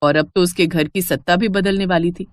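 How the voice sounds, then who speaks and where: A woman narrating a moral story in a measured, even storytelling voice. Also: on the low side, background noise.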